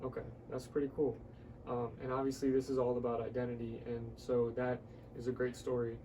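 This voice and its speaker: A male podcast interviewer with a mellow, dark voice and fairly flat intonation. He asks questions casually and often repeats short affirmations. He sounds a little away from the mic, with faint noise. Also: medium pitch, a little fast, distant mic, low-quality recording. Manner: frequent short affirming responses, repeats words.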